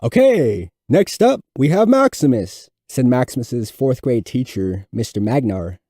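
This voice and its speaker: A man's voice: mid-range voice, mellow, no echo, studio quality. A man reading a scripted story as its narrator. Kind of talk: scripted audiobook-style narration.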